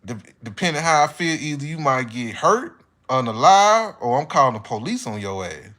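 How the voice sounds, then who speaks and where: A man's voice: mid-range voice, very animated, normal speed, a bit bright. Blunt American man, casual and slangy. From United States. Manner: blunt, heavy swearing and slang. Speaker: online commentator. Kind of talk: casual opinionated monologue.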